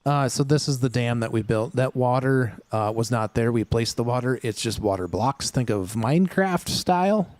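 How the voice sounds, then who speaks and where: A man's voice: mid-range voice, expressive, normal speed, few pauses, darkish tone, no echo. Chatty male game streamer, dry sound. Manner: rambling, many fillers and restarts; chatty, talks straight to chat viewers. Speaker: livestream gaming host. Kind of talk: casual livestream chat.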